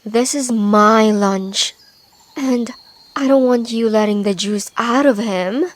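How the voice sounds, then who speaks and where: Woman playing a slow, gloating predatory monster. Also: a little high. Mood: possessive, cool. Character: possessive predatory monster woman called Maker, coolly amused. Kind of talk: ASMR fantasy audio roleplay.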